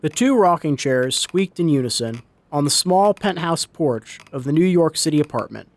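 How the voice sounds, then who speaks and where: Lively, higher-pitched male story narrator. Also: normal speed. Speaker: narrator, reader. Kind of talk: dramatic scripted story narration. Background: story sound effects.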